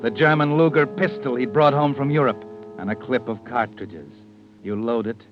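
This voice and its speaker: Actor as ominous old radio mystery narrator, music behind. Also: male voice, medium pitch, moderate pace, close to an everyday voice. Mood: insinuating. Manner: sinister, knowing, insinuating. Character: ominous male narrator who knows the villain's mind. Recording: muffled vintage radio recording.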